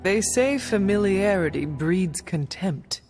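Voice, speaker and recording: Poised, formal warrior heroine. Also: female voice, medium pitch, expressive, darkish tone, soft background music. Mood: calm, curious. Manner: formal, measured, few contractions. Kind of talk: video game cutscene dialogue.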